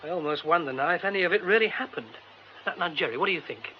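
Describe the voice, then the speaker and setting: Guy playing excitable young british theatre bloke, radio drama, effusive, really lively pitch, bit of background hiss. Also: fast, natural voice. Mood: excited, defensive. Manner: exclamatory, effusive, breaking off mid-thought. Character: excitable, romantic young theatre man, impulsive and dreamy. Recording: dull, faintly noisy radio recording.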